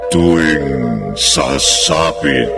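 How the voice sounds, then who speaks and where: A man narrating a horror radio series in an ominous, drawn-out, theatrical way. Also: rumbling low, fairly even intonation. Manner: ominous, drawn-out, dramatic delivery. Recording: cavernous echo with long reverb. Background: dramatic music.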